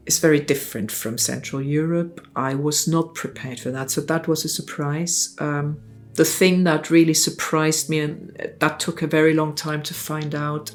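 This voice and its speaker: A woman in her forties from Italy, speaking English with an Italian accent in a reflective, halting personal monologue with many pauses and restarts. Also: a bass voice. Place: lives in Brighton.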